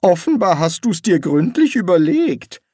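A narrator voicing a nervous male wizard who bluffs as a demon, sarcastic and hesitant, with a strained high voice full of ums. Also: sing-song, measured pace, warm tone, no echo, studio quality. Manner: sarcastic, evasive, pleading. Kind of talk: audiobook reading.

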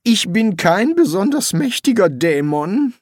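A narrator voicing a nervous male wizard who bluffs as a demon, sarcastic and hesitant, with a strained high voice full of ums. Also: big pitch swings, unhurried, darkish tone, no echo, clean studio sound. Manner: sarcastic, evasive, pleading. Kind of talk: audiobook reading.

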